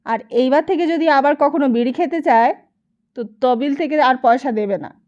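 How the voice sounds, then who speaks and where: Woman voicing a testy, stingy village landlord, mellow-toned. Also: sits high, muffled recording, natural voice. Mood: bossy, stingy. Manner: peremptory, rapid-fire questioning.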